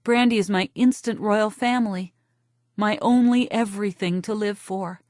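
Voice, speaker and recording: A woman narrating a first-person novel for an audiobook with a wry, deadpan delivery. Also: mid-range voice, moderate pace, dry recording, studio quality.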